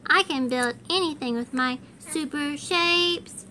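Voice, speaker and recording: Storybook boy hero played by a woman: bright, excited, eager to build and go. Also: sits high, slow pace, a little hiss or hum, heavily acted. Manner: excited, shouting out. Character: keen little boy superhero who builds shapes.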